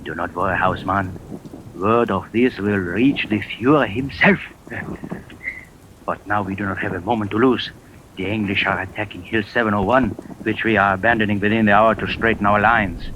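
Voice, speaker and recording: A noisy old radio drama recording of a cold-blooded German general played by a male actor. He is deep-voiced, imperious and deliberate, used to commanding. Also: fairly even intonation, natural voice.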